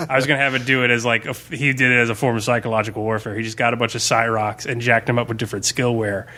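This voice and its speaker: A man's voice: mid-range voice, fairly even intonation, fast, sharp tone. A man co-hosting a podcast, chatting casually and informally as a game master about a tabletop campaign.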